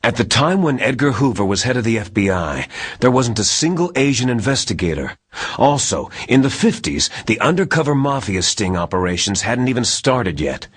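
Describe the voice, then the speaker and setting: An actor playing a gruff, suspicious older military adviser in a video game, speaking in a husky, conspiratorial and insistent way. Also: male voice, mid-range voice, very animated, moderate pace. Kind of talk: dramatic video game acting.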